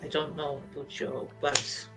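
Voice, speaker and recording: A woman's voice: deep voice, flat delivery, slow, gravelly, over music. A woman talking casually as a player in a tabletop roleplaying game.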